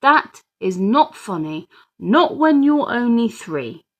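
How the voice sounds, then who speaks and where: Woman playing an exasperated, teasing young-family mum, creaky-edged. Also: fairly high pitch, very animated, takes it slowly, voice pushed up, heavily acted. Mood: scolding. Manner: incredulous, emphatic, mock-scolding.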